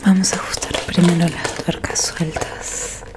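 A woman, doing a soft ASMR roleplay as a technician, murmuring deliberately to one listener close to the microphone. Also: lowish voice, little pitch movement. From Argentina. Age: 20s. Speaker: ASMR creator playing a robot repair technician. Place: small dim room indoors with curtains. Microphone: close microphone in front of her.

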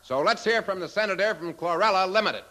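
An actor playing an officious presiding officer of a senate in a 1950s radio drama, announcing loudly and formally. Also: male voice, high voice, a little fast. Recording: long echo of a large hall.

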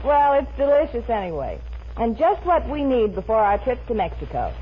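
Gracious lady of the house: animated melody, refined, 1950s radio comedy with light hiss. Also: fairly high pitch, moderate pace. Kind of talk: 1950s radio sitcom acting. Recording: muffled, noisy 1950s radio recording.

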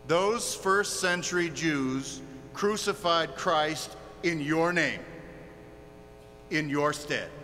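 A man's voice: sits high, sharp tone. A man preaching a fiery, confrontational sermon with emphatic, repetitive rhetorical phrasing. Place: large reverberant hall. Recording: echoey hall, faint mains hum.

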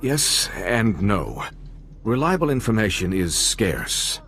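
A lowish male voice playing a diplomat in a game. He is courteous and formal, with an earnest, troubled air. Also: sing-song, slow, fairly bright tone, full character voice. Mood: grave. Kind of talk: video game voice acting.

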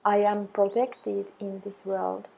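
Female meditation guide. Very slow, even delivery with a creaky edge, soothing and measured. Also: medium pitch, lo-fi sound. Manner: soothing, measured, deliberate phrases with long pauses.

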